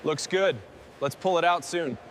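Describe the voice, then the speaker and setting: A man's voice: on the high side, a little slow, a little hiss or hum, natural voice. A man playing a restoration crew worker, making short practical callouts in acted workshop dialogue. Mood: practical, encouraging. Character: male crew worker calling out progress and warnings.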